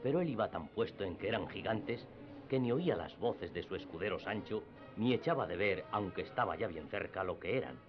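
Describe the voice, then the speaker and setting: A man narrates a classic tale for radio theatre. His voice is low, and the recording is slightly muffled with soft music behind it. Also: expressive, brisk. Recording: dull lo-fi radio drama with music.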